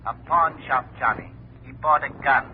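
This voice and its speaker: An actor playing a terse hired detective phoning in, clipped and informal, in 1950s radio style through a telephone filter. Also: male voice, medium pitch, moderate pace. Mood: terse, urgent. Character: terse hired male private detective reporting in. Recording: muffled telephone voice on noisy vintage radio.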